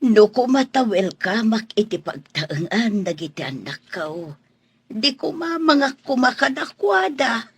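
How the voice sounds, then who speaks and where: A woman playing a gentle, grateful old motherly figure in a radio drama, humble and apologetic. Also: a little high, sing-song, measured pace, slightly rough, heavily acted. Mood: wistful.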